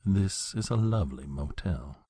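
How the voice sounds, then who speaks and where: An actor playing an old, courtly and menacing male vampire in an audio drama, speaking with a suave, husky voice. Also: low-pitched, moderate pace, dry recording, clean studio sound. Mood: silky. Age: older. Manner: suave, flattering, then threatening.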